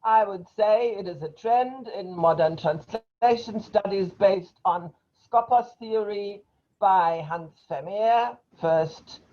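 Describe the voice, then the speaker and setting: An older woman, a non-native English speaker, giving an academic lecture over a video call with measured pauses and frequent hesitations. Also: mid-range voice, dull audio. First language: not English. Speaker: invited speaker, professor.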